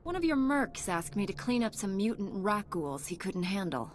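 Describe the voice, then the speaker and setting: A composed female knight voiced by an actress in a sci-fi game. She speaks at a medium pitch, calm and sure of herself with a dry edge, over faint noise. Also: normal speed, natural voice. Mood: composed, assured. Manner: calm, self-assured, dry. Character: composed, dutiful female Jedi knight, dryly confident. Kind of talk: video game character acting.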